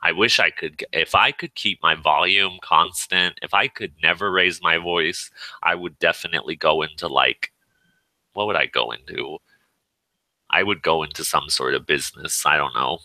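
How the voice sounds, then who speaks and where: Very deep, bright, forceful man chatting. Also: moderate pace, no room sound, rough recording. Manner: emphatic, rambling, acts out quoted remarks. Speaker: participant in an online voice chat. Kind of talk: casual chat, telling a personal story.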